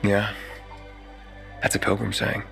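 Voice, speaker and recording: A man playing an earnest, hesitant young wanderer with a gravelly voice in a video game cutscene. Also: fairly low pitch, completely flat delivery, slow, fairly bright tone, exaggerated voice. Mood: friendly. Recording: music behind the voice.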